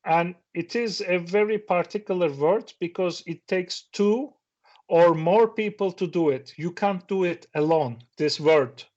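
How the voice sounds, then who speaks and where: A man's voice: sits high, unhurried, darkish tone. A middle-aged man whose first language is Turkish, giving a reflective conference talk that poses questions to the audience, with occasional restarts. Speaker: conference speaker. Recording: dull lo-fi sound.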